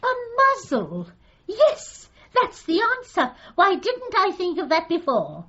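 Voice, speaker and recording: An actress playing a meek, hapless, scatty dog owner with an earnest, innocently droll manner in a gently comic radio drama. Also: female voice, extremely high pitch, huge pitch swings, slow pace, muffled recording, exaggerated voice. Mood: innocently matter-of-fact.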